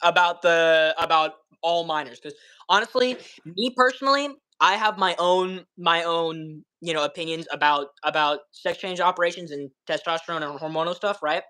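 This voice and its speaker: A man's voice: a little high, normal speed, sharp tone, clear, resonant tone. A man of twenty with a slight lisp, a joking, rambling debate guest. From California. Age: 20s. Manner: joking, rambling, restarts himself. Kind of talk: casual debate chat.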